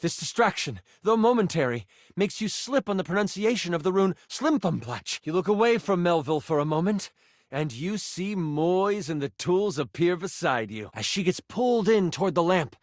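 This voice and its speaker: An actor playing a theatrical game master who narrates a fantasy adventure with suspense. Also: male voice, sits high, big pitch swings, moderate pace, no echo, clean studio sound. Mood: excited. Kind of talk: dramatic second-person scripted narration.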